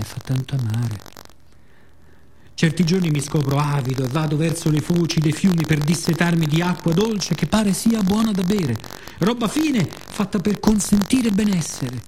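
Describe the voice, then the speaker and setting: A man playing the sea as a weary, bitter yet tender narrator in a theatrical radio monologue with deliberate repetitions. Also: on the high side, mellow, close to an everyday voice. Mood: wry. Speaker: actor performing own monologue. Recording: clear background noise and ambience.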